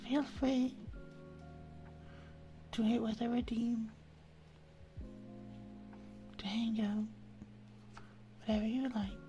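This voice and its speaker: A woman hosting an ASMR live stream, chatting casually and affectionately to viewers in a soft, breathy voice that often drops into a whisper. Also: a little high, fairly even intonation, takes it slowly, pauses often, dull audio, soft background music. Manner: affectionate, intimate, uses pet names.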